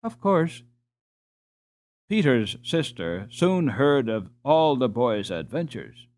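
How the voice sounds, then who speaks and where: A man narrating a storybook as an audiobook reader, in a measured, expressive way. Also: medium pitch.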